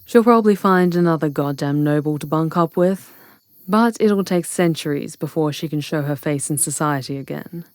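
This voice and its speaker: Casting: woman as witch commander, low-pitched, practical and conversational, dry close sound, fantasy ASMR roleplay. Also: moderate pace, a little mellow, no room sound, lightly acted. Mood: wry, businesslike. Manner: plain-spoken, conversational, explanatory. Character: matter-of-fact witch leader, ally of a vampire hunter.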